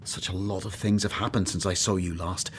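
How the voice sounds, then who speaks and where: A low-pitched, weary biblical disciple played by a male actor in a radio play. He is anxious and self-doubting, brooding earnestly over his words. Faint background ambience sits behind the voice. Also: fairly even intonation, a little fast, a little hiss or hum, close to an everyday voice. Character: weary, anxious, grumbling former tax collector turned disciple.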